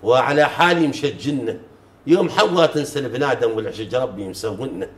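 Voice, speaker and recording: Brief: fast man reciting poetry. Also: medium pitch, animated. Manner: measured, chanting recitation of verses. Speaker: folk poet, guest. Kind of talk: reciting folk poetry in conversation. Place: living room sofa, indoors. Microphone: lapel mic. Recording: roomy echo.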